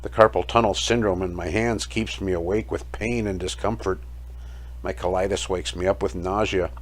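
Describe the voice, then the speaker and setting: A man narrating a first-person dramatic story in a reflective, measured way, reading from a script. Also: sits low, fairly even intonation. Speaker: storyteller narrating his own audio play. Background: music.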